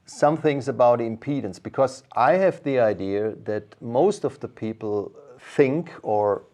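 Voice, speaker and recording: A man with a medium-pitched voice talks as a casual interviewer. He feels his way through each thought, rephrasing it as he goes. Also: expressive, a little slow, mellow.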